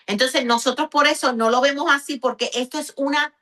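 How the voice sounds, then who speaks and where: Higher-pitched Puerto Rican woman, explanatory. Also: fairly even intonation, a little fast, sharp tone. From Puerto Rico. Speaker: pastor and guest teacher. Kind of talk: conversational religious teaching in an interview.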